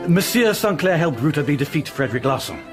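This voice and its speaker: A man's voice: a little high, big pitch swings, quick pace. Male, courteous period-drama husband, formal delivery, varied intonation, music behind. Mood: formal, polite. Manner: polite, formal. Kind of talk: period radio drama acting.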